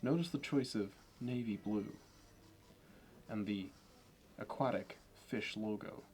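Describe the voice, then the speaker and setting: Low-voiced, polished Wall Street banker played by a man. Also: slow, a little mellow, slight background noise. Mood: fastidious, appraising. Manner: polished, meticulous, salesman-like, condescending. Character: smooth, obsessive, status-minded male Wall Street banker. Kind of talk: ASMR roleplay monologue.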